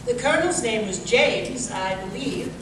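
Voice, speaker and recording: A woman's voice: on the high side, normal speed, close to an everyday voice. A woman playing a polite, eager, inquisitive Victorian lady in a radio drama, courteous and self-deprecating. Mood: curious. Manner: courteous, animated. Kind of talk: old-time radio drama acting. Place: live performance in a museum. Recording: very echoey, distant, noisy stage recording.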